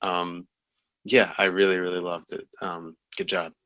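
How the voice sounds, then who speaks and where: A man's voice: low-pitched, flat delivery, measured pace, a bit bright, no echo. A man giving casual feedback, earnest and a little hesitant. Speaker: script reader giving feedback.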